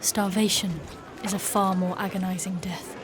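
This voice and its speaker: An actress playing a proud, guarded female knight in a dark fantasy audio drama, with formal, commanding, measured diction. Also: fairly low pitch, fairly even intonation, a crowd in the background, close to an everyday voice. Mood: grave.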